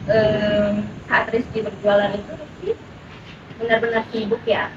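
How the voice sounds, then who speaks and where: A woman's voice: medium pitch, flat delivery, unhurried, distant mic. A woman leading a group counseling session, encouraging the others and summing up what they say. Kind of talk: guided group counseling discussion. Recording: echoey, noisy, muffled pickup from afar.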